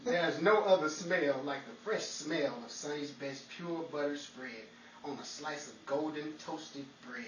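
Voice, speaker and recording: A man playing an upbeat, smooth-talking 1940s radio host and announcer, theatrical and salesmanlike. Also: a little high, unhurried, recorded from a distance, natural voice. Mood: salesmanlike relish. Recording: echoey room, off mic, faint noise.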